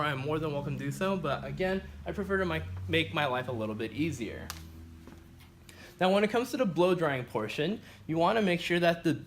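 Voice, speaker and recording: A man's voice: a little high, a little hiss or hum. A man teaching as a barbering instructor, explaining a practical demonstration step by step.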